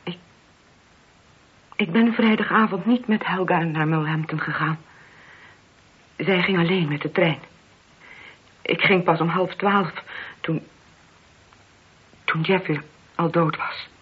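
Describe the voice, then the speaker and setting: An actress playing an anguished married woman in a radio play, speaking in a husky, halting, confessional way. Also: on the low side, full character voice. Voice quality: very husky, breathy. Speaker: voice actor. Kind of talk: Dutch radio play acting. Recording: dull, muffled old radio recording.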